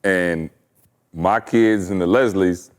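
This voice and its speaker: A man with a deep voice and a stern tone hosting a podcast, telling a lively story and laughing often. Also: slow. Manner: animated storyteller, laughs a lot. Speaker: podcast host. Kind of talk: casual chat, storytelling.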